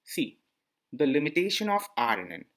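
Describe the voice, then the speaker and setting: A man's voice: on the high side, very animated, moderate pace, a bit bright. A man explaining a lesson over slides, often repeating himself and asking the listener questions. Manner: repetitive, asks listeners questions. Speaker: teacher. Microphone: voice-over on slide screencast.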